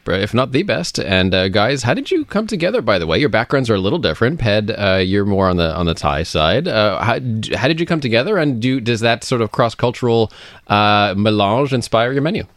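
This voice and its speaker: A man's voice: fairly low pitch, no echo, studio quality. A man co-hosting a business radio show, giving the intros and questions in an upbeat broadcast manner.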